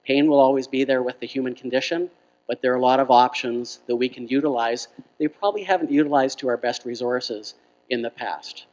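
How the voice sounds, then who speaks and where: Male, middle-aged, medium pitch. Doctor addressing an audience, earnest and explanatory, long hall reverb on a handheld mic. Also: fairly quick, darkish tone. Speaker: physician speaking as health plan medical officer. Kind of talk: public health presentation to an audience. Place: indoor function room at a lectern. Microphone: handheld microphone through room.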